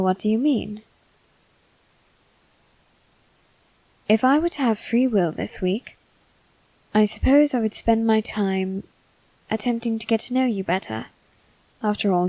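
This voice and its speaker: A woman voicing a male demon servant in a scripted ASMR roleplay, speaking formally and courteously with soft, flirtatious teasing. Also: mid-range voice, gravelly, no room sound, low-quality recording. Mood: curious, flirtatious.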